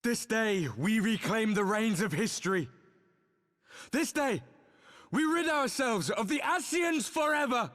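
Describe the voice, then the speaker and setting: Impassioned young fantasy game hero, high voice, defiant declamation, long reverb. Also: male voice, animated, a little slow, fairly bright tone. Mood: rallying. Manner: declamatory, resolute. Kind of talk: scripted fantasy game acting.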